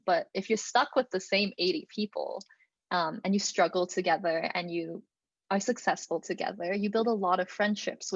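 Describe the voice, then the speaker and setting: A woman's voice: medium pitch, husky, no echo. Young woman, student presenter, casual, fillers, dry, dull call audio. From Canada (Alberta). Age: young adult. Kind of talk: online presentation talk. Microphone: computer mic on a video call.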